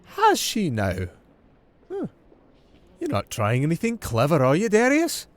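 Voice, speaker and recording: A man's voice: fairly high pitch, huge pitch swings, measured pace. A man playing a menacing older noble father and schemer in a fantasy audio drama, mock-courteous and condescending. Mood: smug veiled threat.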